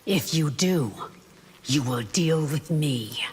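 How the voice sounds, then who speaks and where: A woman's voice: low-pitched, takes it slowly, over loud music. A woman playing a devout, dutiful warrior bodyguard in a video game, speaking firmly and formally. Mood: firm, wary. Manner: firm, formal, wary. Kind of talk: video game character dialogue. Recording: reverberant, with heavy background noise.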